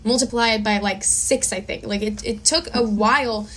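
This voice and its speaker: A woman's voice: fairly high pitch, fairly even intonation, normal speed, a bit bright. A woman giving earnest, emphatic answers in a casual interview as a guest actor and filmmaker.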